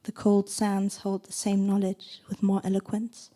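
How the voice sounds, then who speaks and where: Woman reading poetry aloud, soft and measured. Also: mid-range voice, completely flat delivery. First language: not English. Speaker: poet reading at a book presentation. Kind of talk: poetry reading with brief asides.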